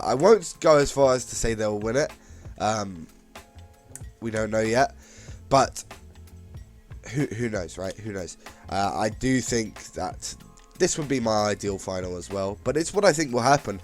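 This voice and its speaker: A man with a slightly raspy voice from being ill, chatting casually about football predictions with lots of fillers and restarts. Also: mid-range voice. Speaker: football channel host. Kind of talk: casual predictions talk to camera.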